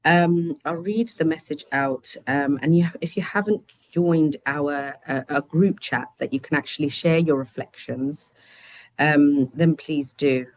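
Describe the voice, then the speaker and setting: A woman with a deep, low voice hosts an online study session from home over a video call, gentle and chatty with plenty of fillers. Her voice is a little croaky, and the sound is dry and close. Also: a little slow, dry recording, low-quality recording. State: slightly croaky voice. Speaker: host.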